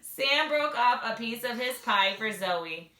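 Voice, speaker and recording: A woman's voice: on the high side, animated, measured pace, pushed and bright, reverberant, low-quality recording. A woman reading a picture book aloud to young children as a teacher and mother, prompting a child with questions.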